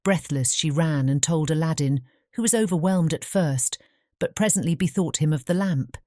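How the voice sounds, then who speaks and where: A woman reads a scripted storybook in a measured, formal way. Her voice sits very low and has a dark, mellow tone. The recording is close and dry. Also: no echo. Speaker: audiobook narrator.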